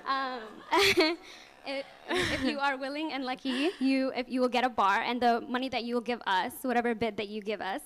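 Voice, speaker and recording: A young woman from Ethiopia giving a live startup pitch, nervous and earnest, laughing often and using many fillers. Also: moderate pace, a bit bright. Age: young adult. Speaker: student startup founder pitching. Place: venture lab event room.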